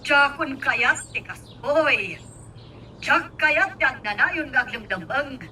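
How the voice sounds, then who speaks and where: A very bright, forceful voice, fairly high and unhurried, a little off mic. It is a stubborn scheming mother in a radio drama. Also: animated, heavily acted. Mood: insistent, impatient. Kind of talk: Ilocano radio drama acting. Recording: distant mic, faint background noise.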